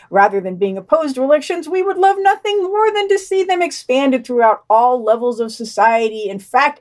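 A woman reads news summaries for a podcast in a flat, deadpan way. She adds brief wry comments after the quotes, her voice sitting at an ordinary middle pitch. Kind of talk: reading news summaries with dry asides.

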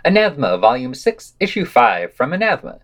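A man's voice: mid-range voice, huge pitch swings, fairly quick, a bit bright. A man hosting a podcast, reading scripted news summaries and quoted passages aloud. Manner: reads quotations aloud, announcing quote and unquote.

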